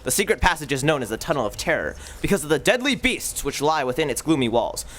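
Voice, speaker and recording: A man's voice: a little high, sing-song, fast talker, a bit bright. A man narrating an old-time radio serial live, with dramatic announcer delivery.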